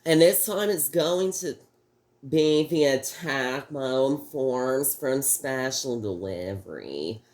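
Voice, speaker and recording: Slow, halting man, voice off from sickness. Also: mid-range voice, animated, darkish tone. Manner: halting, rambling, frequent restarts. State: sick, voice a little off. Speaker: toy review host. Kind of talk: casual video review.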